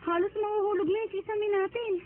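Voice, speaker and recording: A woman's voice: very high-pitched, fairly even intonation, brisk, slight background noise. A woman acting in a radio drama as a grieving, defiant young migrant worker daughter, pleading respectfully but with reproach. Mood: tearful. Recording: tinny narrow-band radio drama recording.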